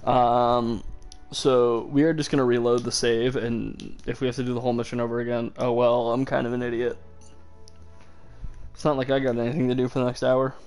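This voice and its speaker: A young man talking through a game stream on a headset mic, sarcastic and quick to poke fun at himself. His voice sits at an ordinary middle pitch, and he drops casual swears into his commentary. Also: level tone, a little mellow, smooth, soft background music.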